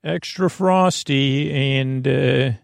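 A man hosting a sleep podcast in a gravelly voice, with a meandering, stammering, reassuring bedtime monologue that keeps losing its thread. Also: mid-range voice, extremely slow, no echo, clean studio sound. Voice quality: creaky, gravelly.

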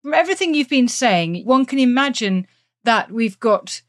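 A woman hosting a history podcast, summarising and putting questions to a guest in articulate, measured phrases. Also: fairly high pitch, animated. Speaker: podcast host, history professor. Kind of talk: considered interview questioning.

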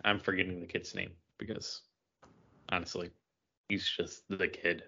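Low-voiced man in casual podcast talk. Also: level tone, measured pace, creaky, sharp tone. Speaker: podcast co-host reviewing comics. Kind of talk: casual panel discussion. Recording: dull lo-fi audio.

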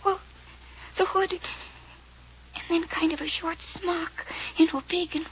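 A woman playing a frightened, dazed young woman in an old-time radio mystery, speaking in a hesitant, halting, breathy way. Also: very high voice, steady tone, fairly bright tone, heavily acted. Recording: muffled vintage radio recording with faint noise.

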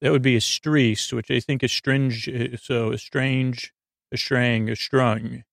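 Creaky-voiced man, rambling and stammering. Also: mid-range voice, fairly even intonation, takes it slowly, no echo, clean studio sound. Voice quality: creaky, gravelly. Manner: meandering, stammering, digressive. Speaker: podcast host. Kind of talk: rambling sleep-podcast monologue.

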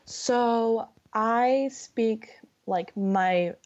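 A woman's voice: fairly high pitch, drawn-out words, darkish tone. Californian woman on a muffled call. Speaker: podcast guest, English conversation partner. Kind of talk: casual conversation. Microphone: online voice call. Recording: dull, lo-fi internet call audio.

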